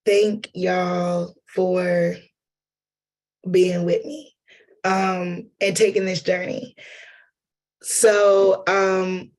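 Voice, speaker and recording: Hoarse man with a cold, slow and chatty, talking to a workshop group, flat delivery. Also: sits high. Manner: chatty, loose, addresses audience as y'all and honey. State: losing voice from a cold. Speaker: lecturer and playwright. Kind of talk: informal workshop talk.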